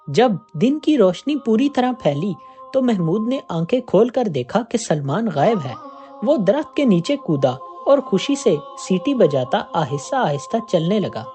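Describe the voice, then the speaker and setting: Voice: medium pitch, dark tone. A narrator reading a scripted moral tale as a storyteller.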